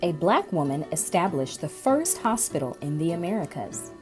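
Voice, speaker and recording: A woman reading a scripted narration in an even, read-aloud manner. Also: lowish voice, normal speed. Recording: narration over loud music.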